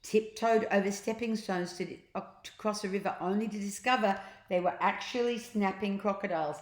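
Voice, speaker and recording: A woman's voice: mid-range voice, moderate pace, reverberant. A woman reading a children's story aloud expressively, acting out sounds and adding little asides to her listeners. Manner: theatrical, acts out sound effects.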